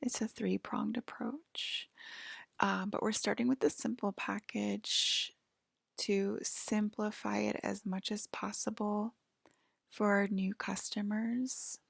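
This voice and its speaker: A woman in a soft-spoken, whispered ASMR roleplay, breathy and halting, explaining things in a hushed, soothing, clerk-like way. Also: medium pitch, flat delivery, no room sound, studio quality.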